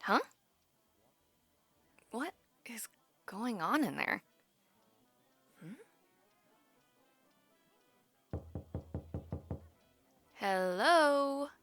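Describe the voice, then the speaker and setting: A young adult woman playing a friendly, teasing university student in a casual audio roleplay, talking to the listener with expressive reactions and drawn-out words. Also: a little high, faint music behind, heavily acted. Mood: nosy, playful.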